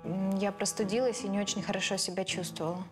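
A young woman playing a frightened student who answers an investigator quietly and hesitantly. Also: medium pitch, quick pace, natural voice. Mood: subdued. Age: young adult. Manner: quiet, flat, hesitant replies. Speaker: student witness. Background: background music.

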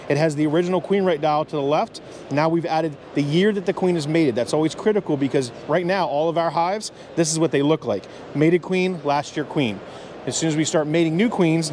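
Man in his 40s, loud voice, energetic sales pitch, slightly high pitch, faint hall noise. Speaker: beekeeping vendor. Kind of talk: product pitch. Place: large convention hall booth. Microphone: clip-on mic.

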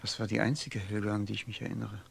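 Weary, gravelly, brooding lonely man. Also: lowish voice, flat delivery. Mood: guarded. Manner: brooding, halting, musing to himself. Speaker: radio play actor. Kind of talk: 1960s German radio drama monologue, introspective.

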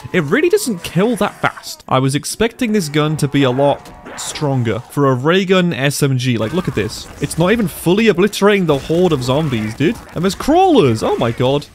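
A young man giving casual commentary over his gameplay, his voice a little congested from a cold and quick to react aloud to the game. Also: on the high side, slight background noise. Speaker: gaming YouTuber.

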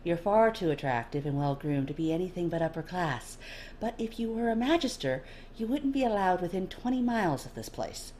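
A woman's voice: lowish voice, expressive, brisk, warm tone, slight background noise, close to an everyday voice. A woman voicing a guarded female linguist in a story, clipped and politely dry, feigning meekness. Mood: cool, controlled.

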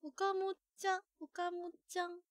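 A woman livestreamer chatting excitably as she comments on a video game, often repeating her phrases. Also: very high voice, a little slow. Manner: excitable, talks to players and viewers, repeats phrases. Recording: game music behind the voice. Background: baseball video game music.

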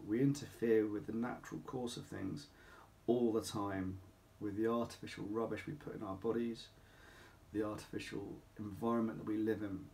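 A middle-aged man speaking as a clinic doctor, explaining to camera in an emphatic, deliberate way with rhetorical questions. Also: fairly low pitch, flat delivery, gravelly, a little mellow, rough recording. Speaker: doctor at a men's health clinic. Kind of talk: informal explainer talk to camera. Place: small home office or consulting room, indoors.

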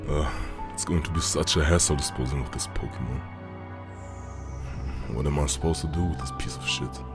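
A man voicing a callous, irritated businessman father in a manga dub, grumbling gruffly. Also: extremely low pitch, moderate pace. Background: music.

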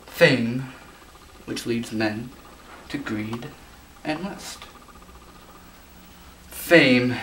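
A young man playing a hesitant amateur singer in a student parody of a Shakespearean soliloquy, speaking in a halting, theatrical way. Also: medium pitch, fairly bright tone. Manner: theatrical, halting, deliberate delivery. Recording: echoey room, distant mic, background hiss.

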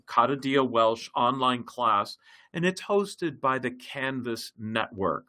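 A man hosting an online language-learning web meeting as facilitator, talking in a halting way with many fillers and restarts. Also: medium pitch, pushed and bright. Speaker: project facilitator. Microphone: computer mic in a web meeting.

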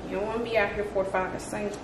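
A woman's voice: medium pitch, level tone, very fast. A woman, chatting casually in a blunt, self-assured way as a stylist at work indoors. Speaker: hairstylist and makeup artist. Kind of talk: casual chat. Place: small room indoors, off camera. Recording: distant echoey mic, clearly noisy room.

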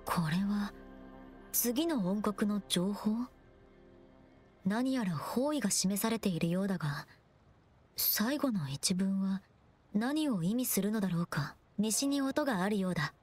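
A woman voicing a young female game adventurer who thinks aloud in a measured, composed, observant way. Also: mid-range voice, expressive, husky. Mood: hushed musing. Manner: measured, composed, quietly musing. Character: young female wanderer, calm, observant puzzle-solving explorer. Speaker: game protagonist. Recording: game music over the voice. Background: game music.

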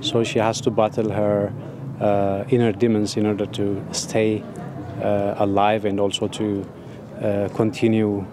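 A man in his twenties giving an interview answer as a filmmaker, haltingly searching for words. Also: on the low side, flat delivery. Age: 20s. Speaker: filmmaker, former film student. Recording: noisy outdoor interview with urban ambience.

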